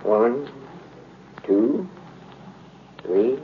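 An earnest old storekeeper with homespun manners and a rural Southern accent. The radio comedy recording is thin, phone-like and a bit noisy. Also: male voice, mid-range voice, lively intonation, drawn-out words. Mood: busy, obliging. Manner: rustic, homespun talk. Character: earnest, folksy old country storekeeper man. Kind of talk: 1930s radio comedy acting.

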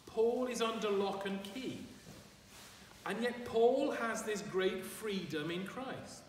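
Slow, high-voiced older priest in echoey church. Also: male voice. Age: 60s or older. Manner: deliberate, emphatic, with measured phrases and repetition. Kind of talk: sermon. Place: large stone church, at a lectern. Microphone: far camera microphone. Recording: echoey church, distant mic, background noise.

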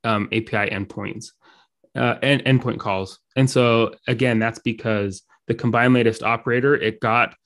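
A man's voice: mid-range voice, steady tone, moderate pace, a bit bright. A man walks through code on a shared screen, his throat dry from a cold. He talks casually, filling gaps with ums and restarting sentences as he types. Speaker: meetup speaker. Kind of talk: live coding talk.

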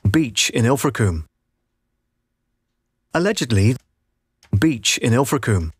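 A man's voice: medium pitch, very animated, takes it slowly, slightly rough, no room sound. Middle-aged male narrator, dry booth sound. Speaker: voiceover artist. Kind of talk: scripted documentary-style narration, demo takes. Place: voiceover booth. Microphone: close studio microphone.

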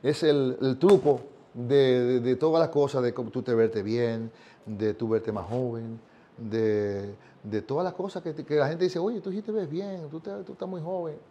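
A man's voice: mid-range voice, fairly even intonation, moderate pace, warm tone, highs cut off. A man in his sixties, a singer, talking earnestly in an interview and often repeating words as he searches for them. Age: 60s. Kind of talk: casual interview chat. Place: bright living room set, indoors.

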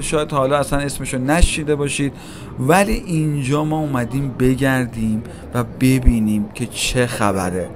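Chatty man narrating a mall walk. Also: on the high side. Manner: chatty, addresses viewers directly, musing. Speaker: walking tour vlogger. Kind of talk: casual walking-tour narration. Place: large indoor shopping mall. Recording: noisy mall ambience. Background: mall crowd ambience.

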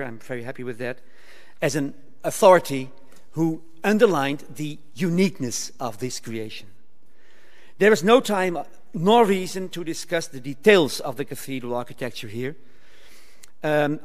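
Older male academic at a lectern, careful formal delivery, fairly high voice, echoing lecture hall. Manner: measured, formal delivery with occasional stumbles and restarts. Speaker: conference speaker. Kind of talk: reading a prepared academic paper. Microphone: lectern microphone. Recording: reverberant hall.

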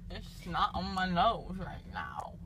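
A young woman talks in a groggy, slurred ramble, her numb mouth softening the words. She drifts into silly sing-song snatches at a mid pitch and an unhurried pace. Road noise from a moving car hums clearly under her, caught on a phone. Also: big pitch swings. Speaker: patient after wisdom teeth removal. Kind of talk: loopy rambling chat. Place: passenger seat of a moving car. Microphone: phone camera held by driver.

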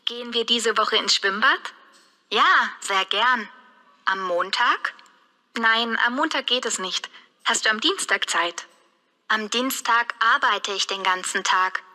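A friendly friend making plans. Her voice is very bright and slightly high, and she enunciates every word. A long reverb follows her voice. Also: slow, full character voice. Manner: clearly enunciated, scripted. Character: friendly adult woman planning an outing.

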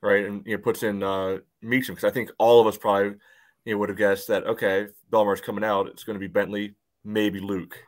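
A man's voice: lowish voice, flat delivery, brisk. A man chatting casually about sports on a video call, padding his phrases with filler words. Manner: peppers speech with "you know". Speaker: sports podcast panelist.

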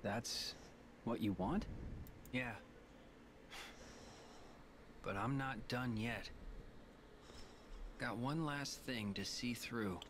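A man's voice: mid-range voice, takes it slowly, pauses often, background noise, natural voice. An actor playing an earnest, weary young male hero in a reflective video game drama. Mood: subdued, reflective.

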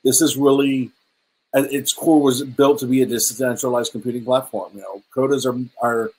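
Man, middle-aged, husky voice, medium pitch, webcam video call, hesitant casual presenting. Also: moderate pace, low-quality recording. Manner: hesitant, many ums and restarts, relaxed and chatty. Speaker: presenter at a virtual meetup. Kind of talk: casual presentation over slides. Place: indoor room at home.

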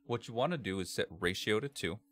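Low, bass-heavy man giving a tutorial. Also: animated, fairly bright tone. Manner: measured, step-by-step instruction. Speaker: tutorial presenter. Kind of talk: scripted software tutorial narration. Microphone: computer microphone, screen-capture voice-over.

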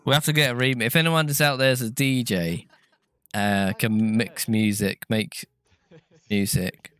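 A man's voice: mid-range voice, steady tone, unhurried, fairly bright tone, clear, resonant tone. Man from Britain, casual stream co-host, headset mic, mid-range voice, chatty. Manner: chatty, thanks donors, sings little jingles. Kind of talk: casual chat while gaming. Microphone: gaming headset.

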